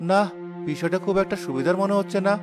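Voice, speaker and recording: A man's voice: high-pitched, fast talker, music in the background, natural voice. A man voicing a mild, puzzled and suspicious village husband in a Bengali cartoon story. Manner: questioning, wondering aloud.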